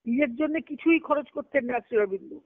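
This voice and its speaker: A woman's voice: high voice, a little fast, dry recording. A woman on a tinny conference call, reading letters aloud and explaining them didactically as she repeats phrases. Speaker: spiritual discussion speaker. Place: speaking on a group conference call. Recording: tinny, band-limited conference phone line.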